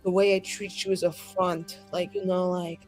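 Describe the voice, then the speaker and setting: A woman's voice: on the low side, little pitch movement, normal speed, a little mellow, voice pushed down, close to an everyday voice, poor audio. A woman playing a dramatic, flippant, sweary scheming sorceress at a tabletop game. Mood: quoting, mock sincere. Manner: theatrical, hedging, flippant. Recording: music behind the voice.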